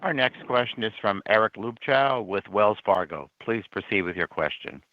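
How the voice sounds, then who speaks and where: A man's voice: lowish voice, lively intonation, normal speed, dry recording. A man working as a conference call operator, giving formal scripted introductions of callers. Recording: dull, dry conference line.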